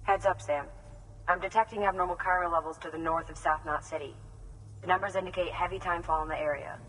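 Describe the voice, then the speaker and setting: An actor playing a calm, soft-spoken male scientist in a video game, reporting in a polite, precise and careful way. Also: high voice, fast talker, long reverb tail, a little hiss or hum, poor audio. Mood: calm, concerned.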